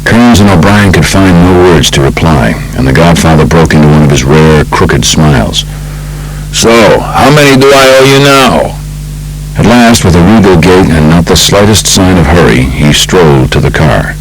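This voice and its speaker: An American man narrates a true crime book in an extremely deep voice. His delivery is measured and polished, like a practiced storyteller reading from a script. A light hum and some noise sit under the recording. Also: lively intonation, rough recording. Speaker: audiobook narrator.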